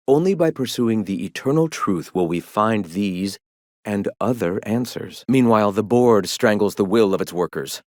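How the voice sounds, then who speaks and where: An actor playing a zealous, scholarly leader of a philosophical rebel movement in a video game, speaking in a lofty, formal, preachy way. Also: male voice, fairly low pitch, no room sound, studio quality, lightly acted. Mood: earnest, preaching. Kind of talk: video game character dialogue.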